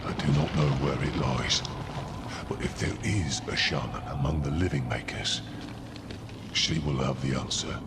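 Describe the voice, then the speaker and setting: A man voicing a huge ancient stone being in a video game, gravelly, weighty and solemn. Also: moderate pace, over music. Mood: solemn, wistful. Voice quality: gravelly, booming. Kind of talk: video game cutscene acting. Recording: loud rumbling ambience behind voice.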